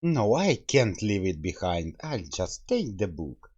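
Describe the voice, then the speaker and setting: A man's voice: lowish voice, very animated, measured pace, a little mellow, husky, highs cut off. A man giving casual game commentary, thinking aloud in short reactions as he plays along.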